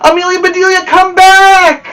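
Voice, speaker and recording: A man voicing excitable young boys on a baseball team, shouting their lines from a storybook. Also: very high-pitched, normal speed, voice pushed up. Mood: triumphant. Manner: shouting, urgent, exclaiming. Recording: muffled, distant microphone.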